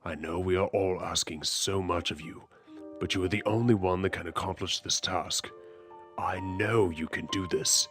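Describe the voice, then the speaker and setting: Deep, stern king acted by a man. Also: steady tone, lightly acted. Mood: earnest, urging. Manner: formal, commanding, measured. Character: stern, dutiful middle-aged king and burdened father. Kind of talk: audio drama acting. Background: music.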